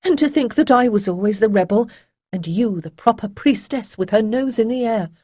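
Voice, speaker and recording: A woman voicing a calm young bride-to-be who speaks softly but firmly. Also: on the high side, moderate pace, dry recording, studio quality, pitched above the performer's own voice, lightly acted.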